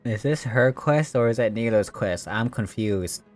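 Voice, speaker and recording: A woman comments casually on a game. The voice is extremely low for a woman. The delivery is made of short, sassy, dry asides, with game music faintly behind. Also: a little slow. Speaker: gaming video host. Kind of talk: casual let's play commentary.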